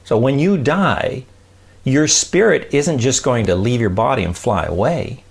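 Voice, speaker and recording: A man's voice: medium pitch, expressive, unhurried, gravelly, husky. A man hosting a podcast, giving an emphatic, informal, conversational lesson, stressing key words and checking in with the listener. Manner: emphatic, with rhetorical check-ins like "right?" and "okay?". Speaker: podcast host explaining science and faith. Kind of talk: conversational teaching talk.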